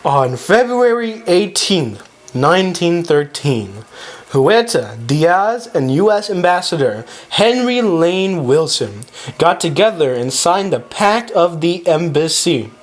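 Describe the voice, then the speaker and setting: A man's voice: on the high side, very animated, takes it slowly. A man giving scripted amateur narration of history as the narrator.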